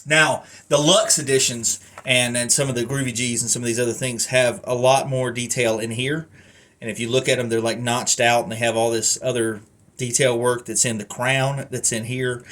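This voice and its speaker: A man's voice: medium pitch, steady tone, moderate pace, a bit bright. Man rambling through a casual hands-on review. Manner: rambling, thinking aloud with asides. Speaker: collector reviewing a wrestling belt. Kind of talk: casual unscripted product review.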